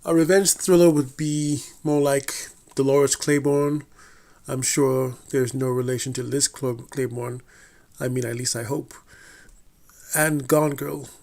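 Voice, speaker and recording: A man delivering a prepared television review at a steady, mid-range pitch. His reading is measured and deliberate, and he now and then trips over a word and starts it again. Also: creaky. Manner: measured, deliberate delivery with occasional slips and restarts. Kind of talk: scripted review read aloud.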